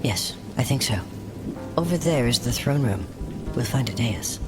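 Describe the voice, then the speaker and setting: A woman playing an intent, searching fantasy adventurer, speaking in a hushed, breathy, direct way in a dark fantasy audio drama. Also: very deep voice, expressive, moderate pace, over music. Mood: hushed, certain.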